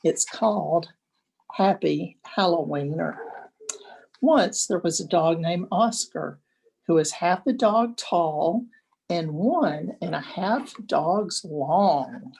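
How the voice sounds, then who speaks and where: An older woman, a grandmother, reading a children's picture book aloud expressively, with deliberate dramatic pauses. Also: fairly low pitch, lively intonation, vocal fry, darkish tone, slightly rough, poor audio.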